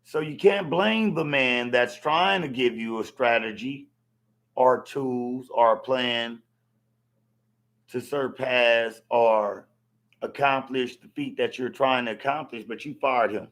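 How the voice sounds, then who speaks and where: A man with a hoarse voice from yelling, ranting bluntly to camera about a boxing match and swearing freely. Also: medium pitch, takes it slowly. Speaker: vlogger reacting to a boxing match. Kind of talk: casual rant to camera.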